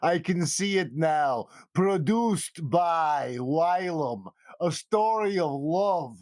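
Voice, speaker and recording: Scheming fantasy bar owner, played by a man, theatrical producer type, halting gushy patter, dry recording. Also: a little high, lively intonation, darkish tone, no room sound. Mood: gushing, pleased.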